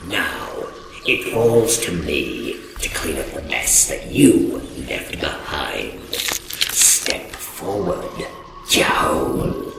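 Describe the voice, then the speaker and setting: Deep, gravelly vampire lord. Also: male voice, very animated, noisy background, heavily acted. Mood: cold command. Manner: slow, imperious, mocking. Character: proud, contemptuous male vampire lord, coldly vengeful. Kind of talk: theatrical dark fantasy audio drama acting. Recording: roomy echo. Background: music.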